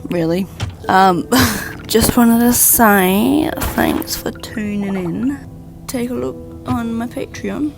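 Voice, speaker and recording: A woman's voice: mid-range voice, creaky. A woman hosting a podcast solo, chatting in a casual, rambling, informal way with a self-deprecating, joking streak. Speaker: podcast host.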